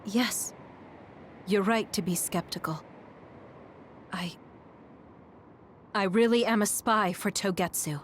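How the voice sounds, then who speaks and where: A woman's voice: medium pitch, takes it slowly, long pauses, a little hiss or hum, close to an everyday voice. A woman playing a tormented military commander and confessed spy in an English video game dub. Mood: remorseful, confessing. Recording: music playing behind the voice.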